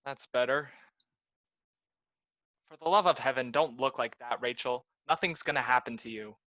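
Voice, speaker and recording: A man's voice: medium pitch, moderate pace, sharp tone, no room sound, natural voice. A man playing a greedy, scheming butler in a radio play, pushy and contemptuous. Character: scheming, greedy male butler, bitter and bold. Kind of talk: amateur radio play acting.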